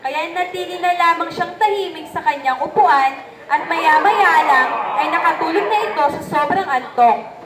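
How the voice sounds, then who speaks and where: A woman's voice: very high voice, normal speed, a loud crowd. A young woman with a Filipino accent reading scripted narration for a story. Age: young adult. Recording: echoey room, faint background noise.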